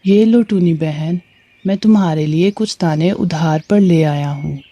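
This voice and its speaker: A woman's voice: sits low, a little slow, mellow, lo-fi sound. A woman playing a helpful, cautious male bird in a moral story cartoon, speaking earnestly.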